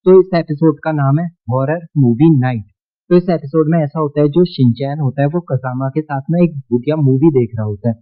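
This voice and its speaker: An Indian-accented man with a slightly high voice hosts a countdown video. He explains things casually and repetitively, and the sound is narrow and phone-like. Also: normal speed. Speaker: video host. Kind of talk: casual scripted YouTube countdown narration. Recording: muffled, narrow-band sound.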